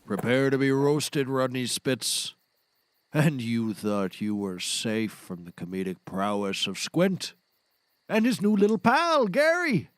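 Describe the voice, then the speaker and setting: A man's voice: mid-range voice, animated, slow. A man playing a brash, scheming, theatrical sidekick in a scripted audio comedy, bossy and mock-grand as he teases. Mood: boastful, patronizing.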